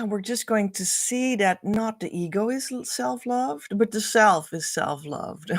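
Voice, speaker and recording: A woman's voice: medium pitch, creaky, no echo. Dutch-accented woman teaching, dry close sound. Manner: earnest, repetitive, flowing phrasing with soft trailing endings. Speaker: spiritual coach. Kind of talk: livestream teaching talk.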